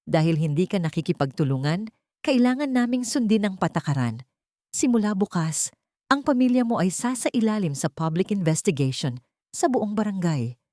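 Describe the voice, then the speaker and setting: A woman's voice: mid-range voice, expressive, moderate pace, darkish tone, no room sound, clean studio sound, pitched above the performer's own voice, natural voice. A woman narrator with a Filipino accent voicing a stern, accusing male village captain in an audiobook. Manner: commanding, taunting. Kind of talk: audiobook character dialogue.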